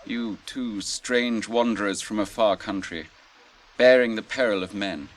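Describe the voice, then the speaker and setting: An actor in a radio drama playing a noble, grave captain, proud yet merciful, with formal, archaic, theatrical delivery. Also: male voice, fairly low pitch, unhurried, close to an everyday voice, low-quality recording. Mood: sorrowful, proud. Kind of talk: 1980s radio drama acting. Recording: radio drama with clear background noise.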